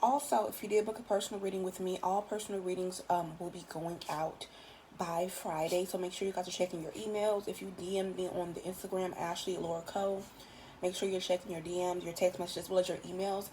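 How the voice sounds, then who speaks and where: A tired woman with a raspy voice, giving a casual, chatty tarot reading in loose, swearing everyday talk. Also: fairly low pitch, fairly even intonation, a little fast, dark tone, slight background noise, low-quality recording. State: just woke up, tired. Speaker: tarot reader.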